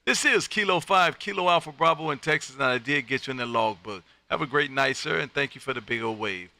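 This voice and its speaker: A man's voice: mid-range voice, brisk, vocal fry, sharp tone. An American man hosting an amateur radio livestream, chatting casually in a folksy, effusive way. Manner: folksy, effusive, peppers talk with friendly address. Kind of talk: casual ham radio chat.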